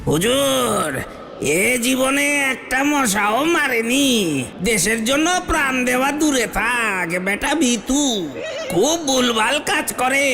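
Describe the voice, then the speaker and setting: Aged celestial bookkeeper for a cartoon: dry, high-pitched, very slow delivery over music. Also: male voice, gravelly, noisy background, full character voice. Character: slow old celestial record keeper. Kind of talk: cartoon voice acting.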